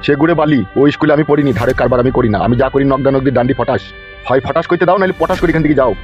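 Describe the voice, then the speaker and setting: A man's voice: mid-range voice, racing, a little mellow, close to an everyday voice. A man playing a pushy, lecherous landlord in a comic cartoon, taunting and crude as he fires off questions. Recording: dull lo-fi track with music.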